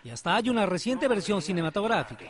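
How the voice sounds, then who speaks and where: A man's voice: sits high, big pitch swings, fast. A man narrating a scripted television feature.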